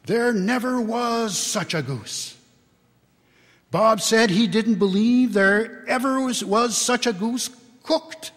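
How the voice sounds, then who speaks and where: A man reads a story aloud with theatrical, deliberate phrasing. His voice sits a little high and has a husky edge. The sound rings through a large church hall with long reverb. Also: fairly bright tone. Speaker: reader at a dramatic reading. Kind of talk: dramatic storybook reading to a live audience. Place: large church, live public reading.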